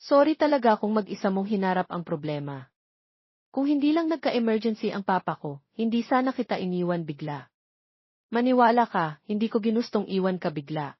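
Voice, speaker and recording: A woman's voice: medium pitch, expressive, brisk, slightly rough, no echo, muffled recording. A woman voicing an apologetic, earnest young bachelor who pleads and explains, in a romance audio story. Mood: remorseful, pleading.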